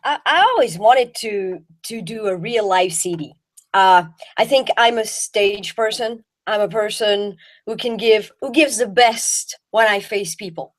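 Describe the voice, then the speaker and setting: A woman's voice: medium pitch, measured pace. A woman from Belgium, a jazz singer and guest, chatting with enthusiasm and often restarting her words. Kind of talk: casual chat.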